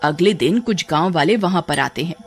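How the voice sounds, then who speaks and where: A narrator telling a cartoon horror story in steady, scripted storytelling. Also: mid-range voice, brisk, slightly rough, soft background music.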